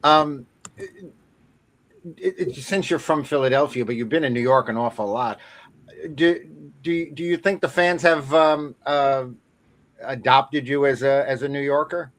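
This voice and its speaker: An older American man hosting a podcast, interviewing conversationally and piecing his questions together haltingly. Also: medium pitch, a bit bright. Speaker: podcast host.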